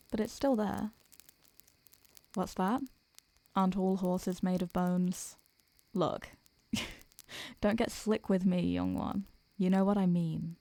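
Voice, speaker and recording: Girl acting as a super old succubus lady, soft n doting, mellow w some fry, close intimate roleplay audio. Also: mid-range voice, no room sound. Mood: wistful, regretful. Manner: gentle, affectionate, unhurried, murmuring endearments. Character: ancient succubus woman, tender, doting, protective, wistful.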